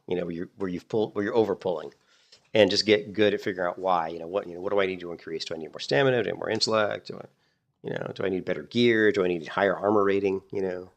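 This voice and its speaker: A man streaming a game and chatting casually in a blunt, rambling way, hoarse as he loses his voice to a cold. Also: low voice. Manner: blunt, rambling with many "you know"s. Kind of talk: casual gameplay commentary.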